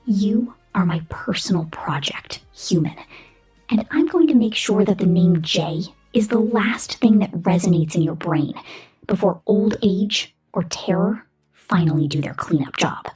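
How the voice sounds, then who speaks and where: Casting: female performer as menacing female robot corporate supervisor, ASMR roleplay. Heavily breathy and whispery, highly animated intonation, cold contempt, slow theatrical threats. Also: faint music behind, full character voice, poor audio. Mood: menacing, possessive.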